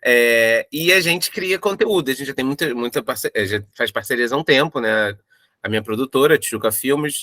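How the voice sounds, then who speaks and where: A man's voice: medium pitch, pushed and bright, dry recording, studio quality. A man, explaining a creative project casually in an interview, rambling and repeating himself.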